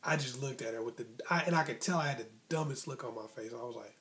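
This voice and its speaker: A man's voice: medium pitch, fast, a bit bright, a little hiss or hum. A man hosting an advice vlog, telling a personal story in a casual, colloquial, conversational way and voicing remembered dialogue. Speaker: online advice host telling personal stories.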